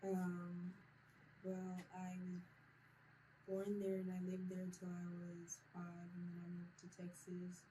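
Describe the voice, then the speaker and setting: Casting: lower-pitched woman from Nigeria, very unhurried and thoughtful, clear background noise. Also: monotone, warm tone, poor audio. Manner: slow, thoughtful, counts things through as she speaks. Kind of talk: casual interview chat.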